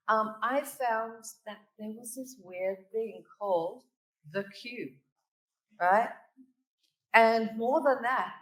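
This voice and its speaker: A woman's voice: on the high side, a bit bright, distant mic. Australian-British woman speaking in an echoey hall. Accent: Australian mixed with British. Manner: storytelling, tags points with "right?" and "okay?". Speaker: conference speaker. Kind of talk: keynote presentation. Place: conference hall.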